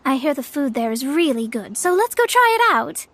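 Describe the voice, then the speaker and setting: A woman's voice: high-pitched, very animated, normal speed, fairly bright tone, no echo, exaggerated voice. A woman voicing a proud, formal young female astrologer who acts as a generous master, in a video game. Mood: haughty. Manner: haughty, formal and self-important.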